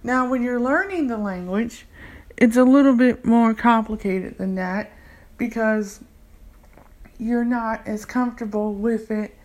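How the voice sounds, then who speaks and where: A woman language tutor, giving a casual, unhurried explanation with frequent fillers. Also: a little high, a little mellow. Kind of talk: casual instructional talk.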